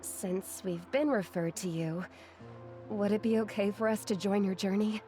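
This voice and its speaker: A woman's voice: mid-range voice, normal speed, mellow, faint music behind, natural voice. A woman playing a polite, hesitant lady traveller in a video game, speaking tentatively. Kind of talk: video game character acting.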